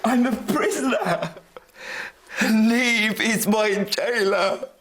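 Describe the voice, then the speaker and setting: An actor playing a bitter, despairing father, grave and deliberate, in a serious drama. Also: sits high, fairly bright tone, heavily acted. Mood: desperate, resolute.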